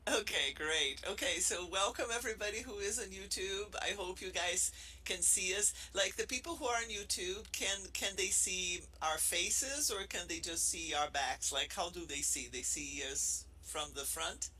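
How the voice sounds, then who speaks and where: A man's voice: sits high, moderate pace, pushed and bright, breathy edge, rough recording. A middle-aged man from Brazil with a Brazilian Portuguese accent, presenting casually as a professor, playful and chatty with many restarts. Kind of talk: casual presentation to a room and online audience.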